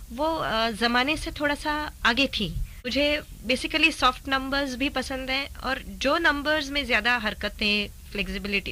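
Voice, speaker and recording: Bright, high-voiced woman interview guest, noisy recording. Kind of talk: reflective interview answers.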